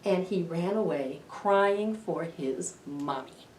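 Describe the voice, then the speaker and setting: A woman's voice: fairly low pitch, takes it slowly, darkish tone. A middle-aged woman doing a dramatic picture-book read-aloud for young children, with questioning asides to her listeners. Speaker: library storytime host. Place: indoor library storytime room. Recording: roomy, distant camera microphone.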